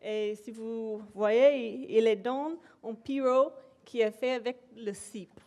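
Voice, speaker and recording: Woman giving a talk, slow and halting, kinda high voice. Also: fairly even intonation, darkish tone. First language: English. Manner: hesitant, many pauses and fillers. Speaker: invited speaker. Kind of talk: academic presentation. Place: lecture hall.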